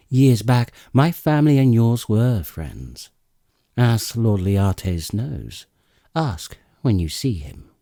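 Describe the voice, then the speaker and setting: Assured goddess in seafarer's guise male reader, epic audiobook; slow, declamatory, widely swooping intonation. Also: lowish voice, mellow, dry recording, studio quality, exaggerated voice. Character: grey-eyed goddess disguised as a seafaring lord, assured, questioning. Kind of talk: dramatic epic audiobook reading.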